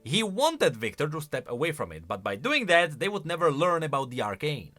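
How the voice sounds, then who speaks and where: A man giving casual, expressive commentary as a video host, thinking aloud as he goes. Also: fairly high pitch, brisk, faint music behind.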